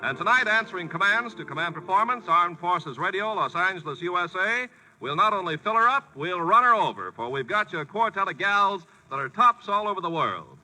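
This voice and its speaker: Bright, high-voiced 1940s radio host. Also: male voice, lively intonation, low-quality recording. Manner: showy, brisk master of ceremonies. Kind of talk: 1940s radio variety show announcing. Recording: vintage 1940s radio broadcast with music.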